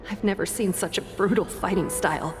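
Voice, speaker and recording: A woman's voice: lowish voice, brisk, over music, lightly acted. An actress playing a shaken young soldier woman in a game cutscene, urgent and awed. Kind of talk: video game cutscene acting. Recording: distant voice with long reverb.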